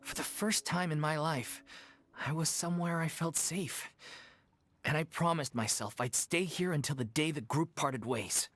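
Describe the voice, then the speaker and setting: A subdued young troupe member. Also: male voice, normal speed, a bit bright, no echo. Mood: somber. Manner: subdued, low-key and candid. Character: quiet young man of a theater troupe. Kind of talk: video game voice acting. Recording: faint game music behind.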